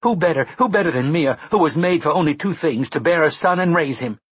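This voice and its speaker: A shrill, proud expectant mother spirit, as a man puts her on, her voice lifted well above his own and pushed loud. She speaks in old-fashioned, defiant phrases with a husky edge. It plays out as an audiobook reading with a close, dry sound. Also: lively intonation, a little fast, dry recording.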